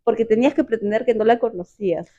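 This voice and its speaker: A woman from Peru with a Peruvian accent, telling a personal story in casual chat, animated and quick to repeat words. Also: on the high side, muffled recording. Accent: Peruvian Spanish.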